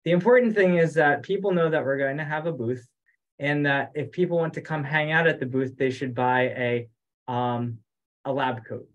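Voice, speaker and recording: A man talking casually as an organizer on an online group call, halting and repeating himself as he goes. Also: mid-range voice, steady tone, lo-fi sound. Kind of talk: casual meeting chat. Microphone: online conference call.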